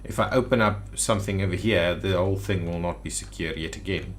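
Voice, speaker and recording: A man with a fairly low voice hosts a gameplay video in a casual style. He thinks aloud and mutters to himself as he works at the computer. Faint background noise and keyboard clicks sit behind his voice. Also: brisk. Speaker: gaming video host. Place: indoors at a computer.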